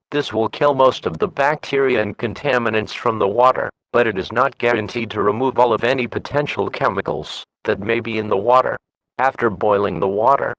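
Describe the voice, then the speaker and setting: A synthetic man's voice reading a formal scripted emergency alert in a flat, measured manner. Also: on the low side, vocal fry, dry recording, rough recording.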